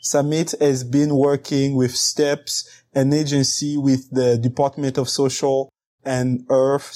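A man's voice: mid-range voice, mellow. A man hosting an interview show, upbeat as he reads out a guest's introduction and asks questions. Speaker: host.

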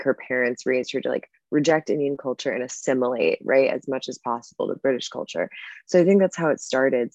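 A woman stand-up comedian chatting casually as a podcast guest, relaxed and full of filler words. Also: very deep voice, no echo, dull audio. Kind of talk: casual conversational interview.

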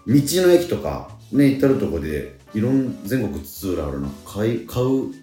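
A man's voice: lowish voice, very animated, measured pace, dark tone. A middle-aged man hosting a radio show in casual chat, sometimes groping for words. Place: roomy indoor space at a theatre. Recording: roomy sound with faint music behind.

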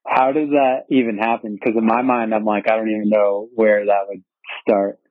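A laid-back male host with a low voice asks easygoing, slangy questions. His voice comes through dry and narrow, like a phone or call line. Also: level tone, moderate pace, dry recording. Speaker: interview host. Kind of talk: casual interview conversation.

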